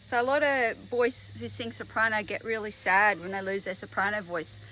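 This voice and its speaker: A woman's voice: medium pitch, fairly even intonation, moderate pace, sharp tone, slight background noise, low-quality recording. A woman interviewing someone, asking short, plain questions in a casual way.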